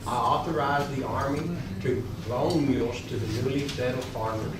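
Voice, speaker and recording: A man's voice: medium pitch, fairly even intonation, moderate pace, natural voice. A man playing a Civil War general in a readers theater, reciting in a formal, declarative way. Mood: formal, commanding. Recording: distant, echoey hall, clear noise.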